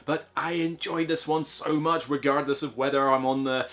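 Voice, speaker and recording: A man's voice: a little high, steady tone, moderate pace, fairly bright tone. A man reviewing board games in a casual, enthusiastic, rambling way, full of fillers and asides.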